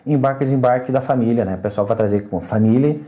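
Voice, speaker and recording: A middle-aged man answers as an interviewed official. His medium-pitched voice is dark and relaxed, and he often tags his phrases with a short checking question. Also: rapid-fire. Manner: frequent "né" tags. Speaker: traffic agency official being interviewed. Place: indoors at radio station.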